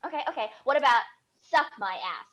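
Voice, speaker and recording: A woman's voice: on the high side, expressive, brisk. A woman acting a brash, pushy young tech entrepreneur with a bossy, gushing manner in a comedy table read, on a lo-fi microphone. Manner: loud-mouthed, bossy, gushing. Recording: dull, lo-fi remote microphone.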